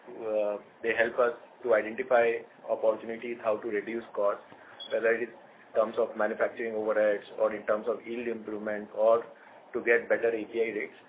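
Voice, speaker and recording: A man with an Indian accent explaining things to analysts as a company executive on an earnings call. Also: medium pitch. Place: conference phone call. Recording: tinny phone line with clear noise.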